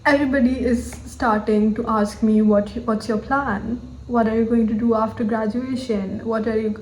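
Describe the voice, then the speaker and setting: A woman's voice: fairly high pitch, reverberant, a little hiss or hum, low-quality recording. A woman in her twenties with an Indian English accent, thinking aloud candidly in a personal video journal, often saying "like" and "you know". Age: 20s. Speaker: vlogger.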